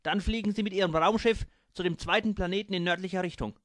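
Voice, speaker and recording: A man putting on a deadpan alien with a raised, pointed voice, mocking and sharp-edged. It is read dry and close to the mic for a storybook. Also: high-pitched, fast talker, no room sound, pitched above the performer's own voice.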